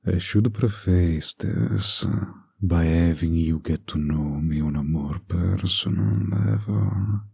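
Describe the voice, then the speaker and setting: A man playing a suave, manipulative villain in a flirty roleplay audio, with a breathy, gravelly voice and a slow, teasingly polite, condescending manner. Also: low voice, darkish tone, no room sound, studio quality, exaggerated voice. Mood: intimate, seductive.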